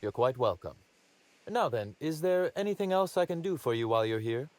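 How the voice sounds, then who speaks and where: An actor playing a wry, polished boss of a secretive outfit in a video game, speaking with formal courtesy. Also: male voice, mid-range voice, big pitch swings, fairly quick, natural voice. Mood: pleased, courteous. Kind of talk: conversational video game acting.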